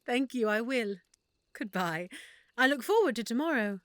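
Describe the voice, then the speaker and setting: A woman's voice: on the high side, lively intonation, moderate pace, dry recording. A woman playing a shy half-elf shopkeeper. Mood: shy, tender. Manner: stammering, soft and nervous. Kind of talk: audio roleplay acting.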